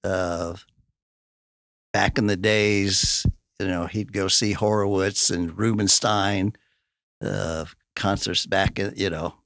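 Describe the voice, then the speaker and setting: A man in his sixties with a Midwestern American accent, a guitarist reminiscing in relaxed conversation. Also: low voice, slow, no echo, studio quality. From Kansas City area. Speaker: band guitarist. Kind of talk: casual chat. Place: radio studio.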